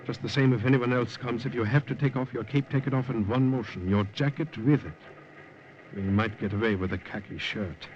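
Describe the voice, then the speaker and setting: A cautious, sentimental male secret agent of wartime Europe, played by an actor. His medium-pitched voice has the measured drama of 1950s radio, on a dull vintage recording. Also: a little mellow, slight background noise, lightly acted.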